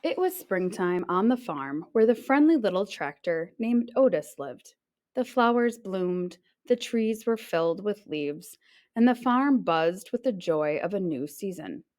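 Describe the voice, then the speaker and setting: A woman reading a children's picture book aloud as a teacher would, expressive in her narration and acting out little sounds. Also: mid-range voice, a little slow.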